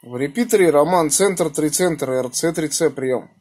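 Man, husky, slightly high pitch, casual slangy radio talk, call signs, phone recording indoors. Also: normal speed, darkish tone. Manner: colloquial, slangy. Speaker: amateur radio operator. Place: small room indoors beside radio equipment. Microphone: phone held near the radio.

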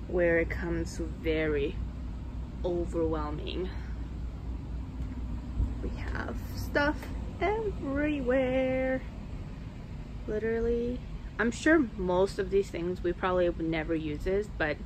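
A woman in her thirties giving a casual, conversational home tour as a vlogger, recorded indoors on a handheld camera. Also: fairly low pitch. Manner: informal, conversational. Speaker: family vlogger. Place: unfinished basement at home, indoors. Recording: clearly noisy handheld camera audio.

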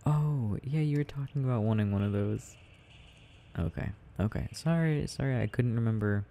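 A young man playing an affectionate, gently teasing boyfriend in an ASMR audio roleplay, murmuring and rambling as he games. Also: mid-range voice, normal speed, lightly acted. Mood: absorbed, rambling.

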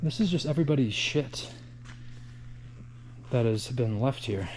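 A man's voice: animated, a little slow, darkish tone, a little hiss or hum. Man muttering while exploring. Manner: low-key, muttering running commentary. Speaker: urban explorer. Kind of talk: walkthrough narration while exploring. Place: outdoors then inside a large empty building. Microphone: body-worn action camera.

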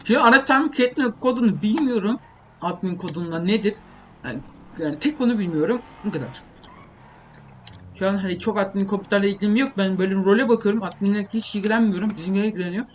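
A man's voice: high-pitched, fast talker. A young man gives casual, informal gameplay commentary, rambling and thinking aloud. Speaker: gaming video creator. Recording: clearly noisy home recording, keyboard clicks. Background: typing and keyboard clicks.

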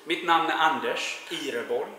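A man in his forties from Sweden, a painting contractor with a Swedish accent, answering interview questions in a relaxed way with many filler sounds, recorded on a lapel mic in a large indoor warehouse. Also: fairly high pitch, normal speed. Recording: very echoey warehouse with long reverb.

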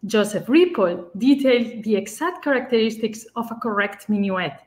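Woman, 30s, fairly high voice, lecturer reading carefully from notes over a webcam call. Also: lively intonation, darkish tone. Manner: careful, measured delivery with occasional restarts. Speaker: fortepianist presenter. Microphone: webcam on a video call.

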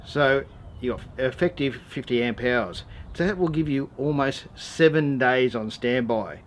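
A man's voice: medium pitch, a little slow. An Australian man in his forties giving a casual, matter-of-fact DIY tutorial, speaking in a laid-back, slangy way. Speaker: host of a how-to four-wheel-driving channel. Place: outdoors in a driveway and around vehicles. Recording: noticeable background ambient noise.

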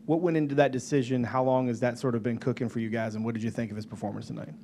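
A man's voice: mid-range voice, steady tone, racing, warm tone, slight background noise. Male reporter asking off mic. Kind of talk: press conference question.

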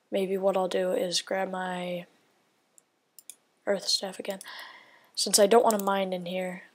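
A woman giving casual gameplay commentary, thinking aloud in a rambling way and often dropping to a whisper. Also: mid-range voice. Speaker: gaming video creator.

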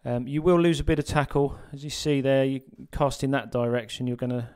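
A man narrating a sea fishing guide as a voice-over, relaxed and full of ums and uhs. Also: medium pitch, a little fast, smooth.

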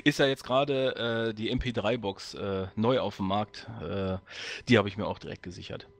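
Gravelly-voiced man chatting haltingly. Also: lowish voice, fairly even intonation. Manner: hesitant, halting delivery with frequent hesitation sounds. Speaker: audio drama sound editor. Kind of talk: casual podcast chat.

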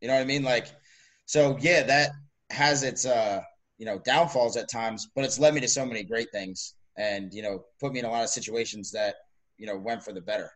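A man's voice: medium pitch, a little fast, a bit bright, rough recording. A man in his mid twenties, a college strength coach co-hosting a podcast, rambling in an upbeat casual chat with many fillers. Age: 20s.

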